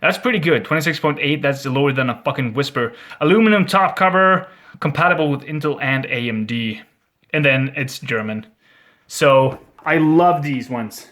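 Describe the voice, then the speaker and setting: A young man with a Swedish accent, unboxing computer parts in a casual, excitable vlog at home, swearing and joking as he goes. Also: mid-range voice, normal speed. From Sweden. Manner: excitable, swears a lot, jokey. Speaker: gaming vlogger. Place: at home indoors. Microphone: webcam.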